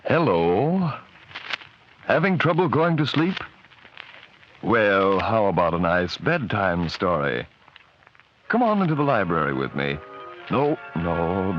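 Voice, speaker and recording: A sly sinister bedtime storyteller. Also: male voice, sits low, big pitch swings, takes it slowly, long pauses, heavily acted. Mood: coaxing. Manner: coaxing, sly, hushed. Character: sinister soft-spoken male horror storyteller. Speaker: horror show host. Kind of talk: 1940s radio acting. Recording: dull old radio recording with music.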